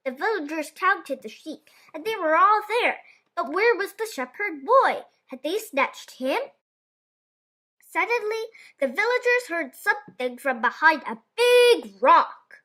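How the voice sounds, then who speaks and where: A woman storyteller reads a children's book aloud. Her voice is high and bright, with lively, wide-swinging intonation that gives the narration plenty of expression. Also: a little slow.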